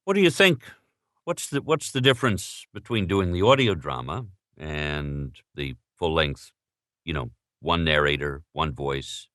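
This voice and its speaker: A man's voice: very deep voice, animated, a little slow, a bit bright, no room sound, clean studio sound. A man with an American accent asking questions as an interviewer in a conversational style.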